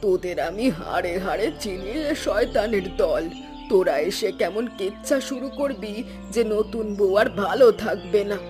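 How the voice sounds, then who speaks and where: A woman's voice: medium pitch, moderate pace, natural voice. A woman voicing an older village aunt who is stern and caring, scolding yet motherly in her way of talking. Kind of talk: Bengali cartoon dubbing. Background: loud background music.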